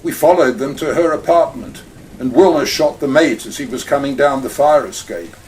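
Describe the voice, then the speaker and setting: A man playing a smooth, scheming male crime boss in a community radio play, speaking in formal, elaborate phrases. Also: mid-range voice, moderate pace, darkish tone, recorded from a distance, slight background noise, close to an everyday voice, low-quality recording. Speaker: actor in community audio drama.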